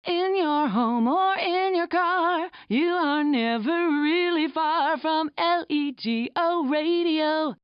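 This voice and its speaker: A high radio station jingle voice, extremely slow and drawn out. It sits over music, with a slightly muffled game-audio sound. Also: female voice, no room sound. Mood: sweet, promotional.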